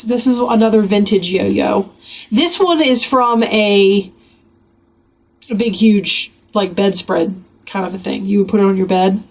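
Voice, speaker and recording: Woman with a rough, gravelly cold voice. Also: mid-range voice. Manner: chatty, rambling, with many fillers. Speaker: craft channel host. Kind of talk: casual journal flip-through.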